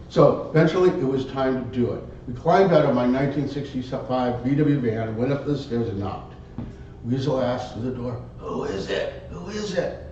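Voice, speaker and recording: A man telling a tall tale live as a contest speech, theatrically, in short halting phrases. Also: warm tone. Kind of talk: live dramatic storytelling speech. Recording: very echoey room, distant muffled mic, rumble.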